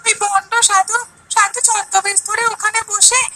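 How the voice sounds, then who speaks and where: Casting: actress for cartoon village boy, extremely high, bright, anxious and insistent, soft music behind. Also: level tone, normal speed. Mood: urgent, pleading. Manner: pleading, insistent. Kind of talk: Bengali animated story dialogue.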